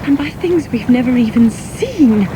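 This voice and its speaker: High-voiced, intense wife on the run. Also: female voice, expressive, unhurried. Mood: anguished, despairing. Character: anguished, stubborn wife fleeing a drowned Britain. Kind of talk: radio drama acting. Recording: noisy outdoor boat and water sound effects.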